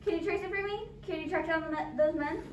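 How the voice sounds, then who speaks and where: Echoey far-off recording, lady playing a really high-voiced nervy bossy invalid wife, old radio play style. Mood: urgent. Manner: agitated, halting, insistent. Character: nervous, imperious, demanding invalid wife on the telephone. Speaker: amateur drama club actor. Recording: echoey, distant, noisy amateur recording.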